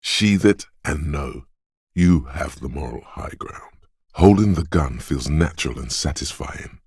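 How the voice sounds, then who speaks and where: A man voicing an inner voice of physical instinct in a video game, advising and narrating in a deliberate, precise, instructing way. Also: very deep voice, lively intonation, a little mellow, breathy edge, no room sound, clean studio sound, exaggerated voice. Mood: reverent, solemn. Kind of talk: video game voice-over, second-person narration.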